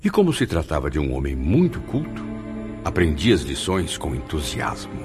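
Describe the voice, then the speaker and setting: A man's voice: very deep voice, huge pitch swings, normal speed, heavily acted. An actor playing a male spirit who solemnly recalls his past life in a radio drama. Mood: solemn, reminiscent. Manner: solemn, theatrical first-person storytelling. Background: music.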